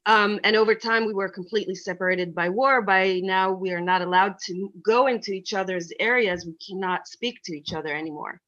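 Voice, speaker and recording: A woman's voice: medium pitch, fairly quick, few pauses, a bit bright, dull audio. A woman from Israel, a former peace activist, asking an earnest, reflective question on a video call. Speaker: audience member asking a question. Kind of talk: thoughtful spoken question.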